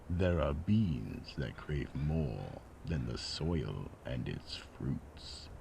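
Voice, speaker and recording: A man narrating an eerie mystery radio drama in a theatrical storytelling style, with dramatic pauses. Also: extremely low pitch, gravelly, a little hiss or hum.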